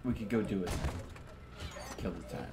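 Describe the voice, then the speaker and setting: Low-voiced male game streamer, noisy recording. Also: moderate pace. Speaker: video game streamer, host. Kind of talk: casual live gaming stream chat.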